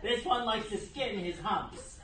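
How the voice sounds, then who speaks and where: Loud noise surrounds a woman playing an earnest puppet bird in the role of a young female agent. The voice swings widely in pitch, with a deliberate, dramatic amateur delivery in a roomy space. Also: expressive, distant mic, natural voice.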